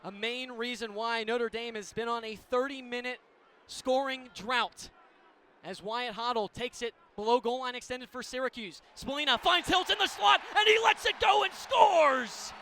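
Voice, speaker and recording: A man with a very high-pitched voice calls lacrosse live on the radio. He describes the action in short, vivid running phrases, with clear game noise around him. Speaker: play-by-play announcer. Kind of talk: live radio sports commentary. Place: sports venue broadcast position. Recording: loud arena noise behind commentary. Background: loud game ambience.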